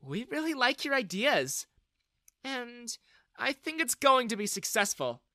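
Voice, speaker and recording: A man's voice: very high-pitched, big pitch swings, a little slow, bright, forceful tone, no echo, clean studio sound, voice pushed up, full character voice. A man voicing a kind, outspoken young woman who speaks earnestly and flares up in anger. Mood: earnest, angry. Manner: pleading, fiery when provoked.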